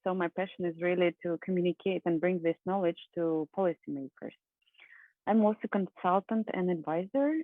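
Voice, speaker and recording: A woman from Russia in her thirties giving an earnest conference talk over a video call, with frequent fillers and soft laughs. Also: lowish voice, no room sound. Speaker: conference speaker. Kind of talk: presentation talk. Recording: phone-like dry online call.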